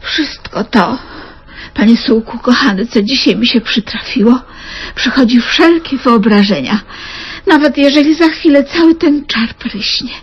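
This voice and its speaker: An actress playing an excitable, suspicious woman in a comedy radio play, talking in theatrical, anxious outbursts, on a dull old radio recording. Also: on the high side, a little slow, slightly rough. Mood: wondering. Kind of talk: 1970s radio comedy acting.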